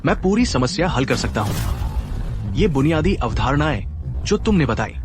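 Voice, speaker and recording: A man plays a cornered young cheat who blusters and stammers as he dodges the charge. His pitch jumps around while he makes excuses. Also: wildly swooping pitch, a little slow, vocal fry, over music, exaggerated voice. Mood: defensive. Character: young man caught plagiarizing, defensive and evasive. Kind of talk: Hindi dub of a drama.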